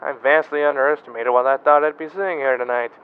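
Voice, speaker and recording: A man's voice: mid-range voice, fairly even intonation, quick pace, a little hiss or hum, natural voice. An actor playing a male field reporter who describes a crash site in an awed way. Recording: distant tinny phone-like audio.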